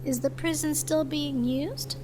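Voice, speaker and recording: Woman putting on a sugary, high-voiced young drifter. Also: fairly even intonation, a little slow, noisy background. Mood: innocent, curious. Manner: sugary, playful, feigned innocence.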